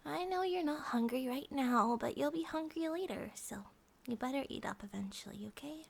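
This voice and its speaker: A woman voicing a gentle, affectionate monster-girl bear wife in a soft ASMR comfort roleplay, often dropping to a whisper. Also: sits high, lively intonation, moderate pace, gravelly. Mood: tender, caring.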